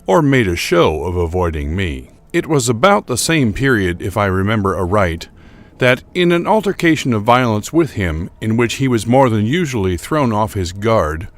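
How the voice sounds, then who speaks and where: A man playing a troubled, bitter gentleman who narrates a gothic horror tale in a formal, deliberate, theatrical manner. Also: on the low side, sing-song. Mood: bitter, resentful. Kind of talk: dramatic first-person reading of gothic horror fiction.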